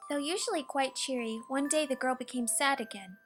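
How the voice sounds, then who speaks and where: High, bright-voiced woman reading a storybook. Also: a little fast. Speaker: storybook reader. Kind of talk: scripted children's storybook narration. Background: soft music.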